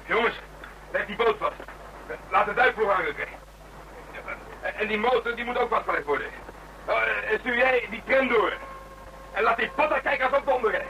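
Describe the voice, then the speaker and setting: A man acting a gruff, blunt, grumbling police detective in a radio crime drama. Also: high-pitched, lively intonation, moderate pace, pauses often, sharp tone. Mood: barking orders. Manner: blunt, grumbling, colloquial and swearing. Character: gruff, rattled Dutch police detective nicknamed Draak. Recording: noisy radio drama recording.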